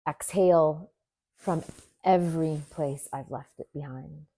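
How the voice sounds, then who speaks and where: Slow-paced woman guiding gentle meditation. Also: sits low, fairly even intonation, creaky, no echo. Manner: gentle, soothing, deliberate phrasing with pauses. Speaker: yoga nidra teacher.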